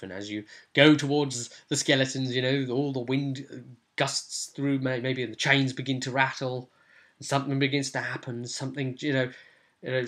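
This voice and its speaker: A man's voice: mid-range voice, fairly bright tone. A British man giving casual, unscripted commentary on a tabletop role-playing session as game master, in a rambling, colloquial way.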